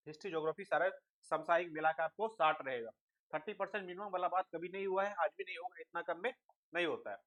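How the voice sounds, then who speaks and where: A man's voice: sits high, bright, forceful tone, rough recording. A man explains like a coaching teacher, asking questions and answering them himself, mixing in English terms. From India. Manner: asks rhetorical questions and answers them, checks understanding. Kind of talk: instructional explanation to students.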